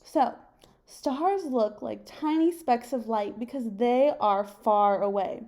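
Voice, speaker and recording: Slow, mellow young woman reading to children. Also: fairly high pitch. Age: young adult. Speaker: teacher reading a picture book aloud. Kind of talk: children's read-aloud with questions to listeners. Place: home kitchen, indoors.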